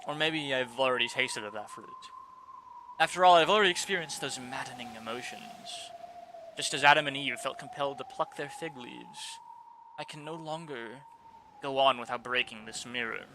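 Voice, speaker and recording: A man reading aloud the quiet, solemn inner monologue of a brooding young male servant, with a steady hiss behind. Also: mid-range voice, normal speed, natural voice. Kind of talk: reading a visual novel aloud.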